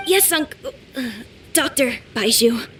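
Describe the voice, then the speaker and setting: A woman's voice: sits high, lively intonation, slow, a bit bright, slight background noise, exaggerated voice. A woman playing a worried, earnest little boy in a video game, speaking in a youthful, slightly hesitant way. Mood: polite.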